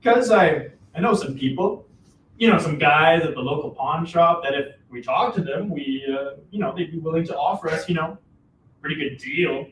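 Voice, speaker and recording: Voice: mid-range voice, moderate pace, lightly acted. An actor in a dramatic play reading as Joe, a cajoling, exasperated older father with a folksy, repetitive way of talking, recorded at a distance in a roomy space. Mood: scheming. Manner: wheedling, folksy, repeats himself. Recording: roomy, distant mic, faint noise.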